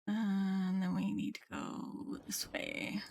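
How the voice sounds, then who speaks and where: A woman's voice: medium pitch, little pitch movement, slow pace, a little mellow, a little hiss or hum. A woman livestreaming a game, chatting casually with viewers as she plays. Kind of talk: casual chat while playing, reading viewers' comments. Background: game music.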